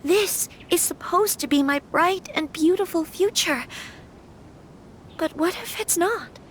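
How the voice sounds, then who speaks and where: An actress playing an earnest, composed young noblewoman who speaks in a soft, polite, reflective way. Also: extremely high pitch, a little slow, a little hiss or hum. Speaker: game character.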